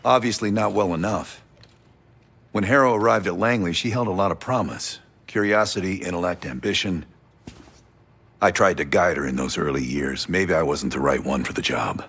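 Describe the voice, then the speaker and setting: Deep, gravelly, calculating spy veteran. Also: male voice, moderate pace, long pauses, fairly bright tone, exaggerated voice. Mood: reflective. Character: cool, calculating veteran male CIA interrogator. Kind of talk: cinematic game acting.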